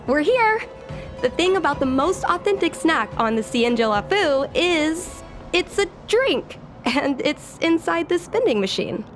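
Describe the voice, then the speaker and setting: A woman's voice: high voice, a little slow. A woman playing a spirited, earnest young fox-woman who dreams of piloting, in a video game. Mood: perky, friendly. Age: young adult. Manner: perky, expressive, earnest. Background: music.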